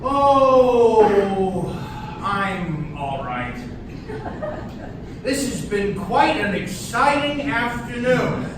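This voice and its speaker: A man acting an awkward, mild-mannered guest in a stage comedy. He speaks slowly and hesitantly with wide pitch swings, recorded in a very echoey room. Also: big pitch swings, distant mic, noisy background, full character voice. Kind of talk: live staged comedy play reading.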